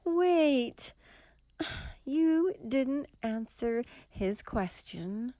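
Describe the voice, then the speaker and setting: A woman playing an earnest, bewildered group member in an audio mystery drama, speaking in a halting, questioning way. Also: high voice, animated, warm tone, no room sound, heavily acted. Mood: uneasy, questioning.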